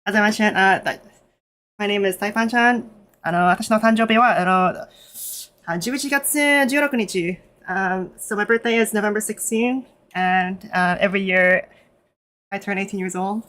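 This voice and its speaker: A Canadian woman streaming in a nervous, casual way, with lots of fillers, switching between English and halting Japanese. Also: medium pitch, a bit bright. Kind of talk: casual live stream chat.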